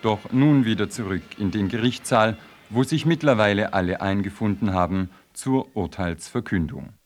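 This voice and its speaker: A man's voice: lowish voice, moderate pace, music in the background. A man narrating a satirical radio play in a dry, ironic tone.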